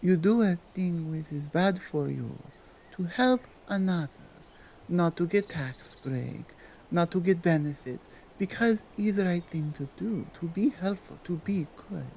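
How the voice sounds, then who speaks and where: Slow Nordic-accented dominant Krampus played by a woman. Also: lowish voice, mellow, slight background noise, poor audio. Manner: slow, deliberate, halting foreign-sounding phrasing. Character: dominant, strong female Krampus, teasing and sincere. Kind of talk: ASMR roleplay monologue addressed to the listener.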